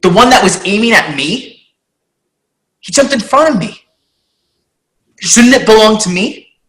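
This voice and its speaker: A woman's voice: medium pitch, expressive, a little slow, fairly bright tone. A woman playing a hot-tempered, jealous, combative heroine in a screenplay table read. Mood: indignant. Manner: indignant, combative. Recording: echoey room.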